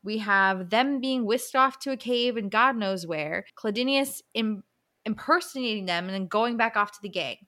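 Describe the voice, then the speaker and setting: A woman's voice: a little high, normal speed, bright, forceful tone. A woman co-hosting a podcast, chatting casually about books in a blunt, sweary way. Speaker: podcast co-host.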